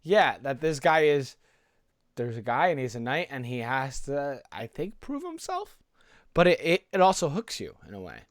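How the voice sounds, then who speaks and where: A man's voice: mid-range voice, sing-song, normal speed, dry recording, clean studio sound. A man co-hosting a podcast in casual chat, loosely thinking aloud and often hesitating over his words. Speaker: podcast co-host.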